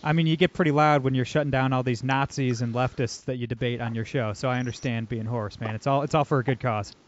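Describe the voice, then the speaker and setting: A man's voice: medium pitch, fairly even intonation, fast, dull audio. A man hosting a podcast in a casual, upbeat way, with lots of fillers. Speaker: podcast host.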